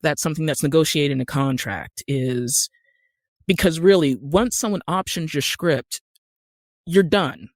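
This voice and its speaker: A woman's voice: sits low, unhurried, no echo. Wry American woman, dry close sound. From Utah, United States. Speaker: guest actor and screenwriter. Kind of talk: casual podcast interview.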